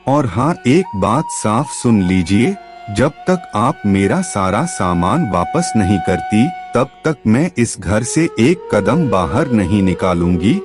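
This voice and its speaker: A wronged young daughter-in-law played by a male narrator stands her ground with polite but pointed defiance. The lines come slowly and with animated intonation, in a family storybook drama set over music. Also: fairly low pitch. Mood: defiant. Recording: music behind the voice.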